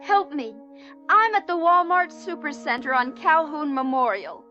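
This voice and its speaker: A woman's voice: sits high, a little slow, sharp tone, faint music behind. A woman playing a frightened, pleading emergency caller trapped in a store, in a horror audio drama with muffled call audio. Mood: panicked pleading.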